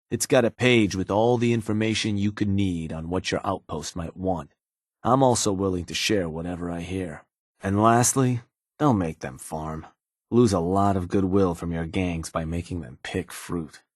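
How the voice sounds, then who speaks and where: An actor playing a laid-back, businesslike raider fixer in a video game, with a husky voice and a casual, wry, streetwise manner. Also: male voice, low-pitched, darkish tone, no room sound, clean studio sound. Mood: businesslike, easygoing. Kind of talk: in-game character dialogue, conversational delivery.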